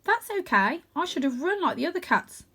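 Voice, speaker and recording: A woman's voice: sits high, very animated, fairly quick, bright, forceful tone, pitched above the performer's own voice, heavily acted. A woman voicing a young male cat, an earnest, naive and kind hero of a children's storybook. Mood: kind, reassuring.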